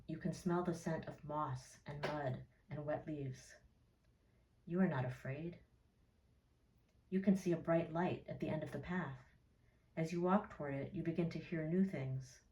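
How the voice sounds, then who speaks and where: Low, mellow woman guiding a meditation, roomy. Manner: soothing, measured, with gentle pauses. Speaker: therapist leading a guided meditation. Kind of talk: guided meditation narration. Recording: roomy echo, distant from mic.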